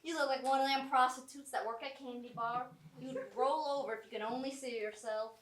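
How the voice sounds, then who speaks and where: A woman playing a kindly, prim Southern country woman in mourning, folksy and gently scolding, in a live amateur stage comedy. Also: high-pitched, steady tone, brisk, close to an everyday voice. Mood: fond, teasing. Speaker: actor in a staged reading of a short play. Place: small college theatre stage. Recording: roomy theatre, mic at a distance.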